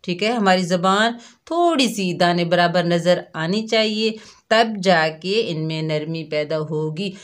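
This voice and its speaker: Slow, patient woman teaching pronunciation. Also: fairly low pitch. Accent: Pakistani Urdu. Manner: patient and repetitive, drawing out demonstration sounds. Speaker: Quran recitation teacher. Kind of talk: slow classroom instruction to children.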